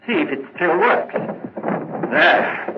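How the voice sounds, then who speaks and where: An actor playing a guilt-ridden, nervous murderer in an old-time radio mystery, halting and melodramatic. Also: male voice, a little high, lively intonation. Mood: frantic, pleading. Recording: muffled, noisy vintage radio recording.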